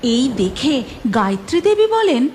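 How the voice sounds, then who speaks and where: A woman narrating a cartoon story in a steady storybook telling manner. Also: high voice, measured pace, background noise. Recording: music bed behind the voice.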